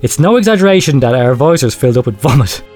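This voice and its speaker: A man playing a grave researcher, telling a horror confession in a measured, clinical and ominous way. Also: medium pitch, expressive, a little mellow, soft background music, close to an everyday voice. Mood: clinical dread. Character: grave male researcher confessing secret horrific experiments. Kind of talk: dramatic horror storytelling, read aloud.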